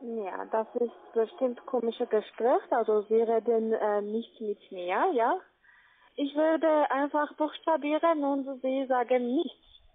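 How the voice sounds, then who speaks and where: High pitched lady w foreign accent in german, tinny phone line, walking someone thru steps, keeps checking. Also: takes it slowly. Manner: insistent, repeatedly checking with tag questions. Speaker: call-center phone scammer. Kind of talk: step-by-step phone instructions.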